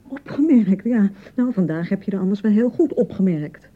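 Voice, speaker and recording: A woman's voice: medium pitch, animated, moderate pace, close to an everyday voice. A woman acting a touchy, hurt and unsure young newlywed bride in a radio drama, defensive and hesitant in manner. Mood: sulky, defensive. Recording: dull, slightly muffled 1990 radio broadcast.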